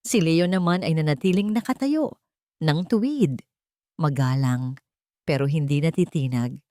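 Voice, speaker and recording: A woman's voice: low voice, unhurried, dark tone, dry recording. A woman narrating a scripted romantic story in a dramatic storytelling style.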